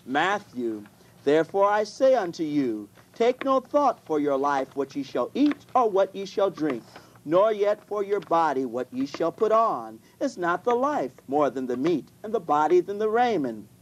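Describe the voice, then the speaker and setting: A reader delivering scripture in a deliberate manner, halting at times. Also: medium pitch, very animated, poor audio. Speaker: Bible reader. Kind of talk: scripture reading.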